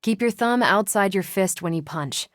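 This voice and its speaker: A woman's voice: mid-range voice, animated, moderate pace, no room sound, clean studio sound, lightly acted. A woman with an American accent playing a confident, wry, upbeat superheroine in a video game, with breezy, teasing, casual delivery. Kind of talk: video game voice acting, short one-off lines.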